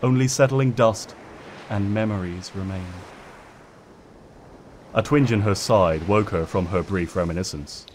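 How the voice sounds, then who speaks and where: A man's voice: lowish voice, a little slow, pauses often, a little hiss or hum. A man reading fiction aloud as a narrator, in a dramatic scripted storytelling style. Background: ambient sound effects.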